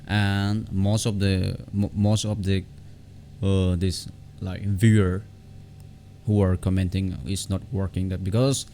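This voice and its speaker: A man with a very deep voice and a lot of fry walks viewers through software step by step. His delivery is informal and halting, often doubling back on words. A faint layer of noise sits behind the close desktop-mic recording. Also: little pitch movement. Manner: halting, repeats words and phrases. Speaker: tech tutorial vlogger. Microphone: separate desktop condenser microphone. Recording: low background noise on home recording.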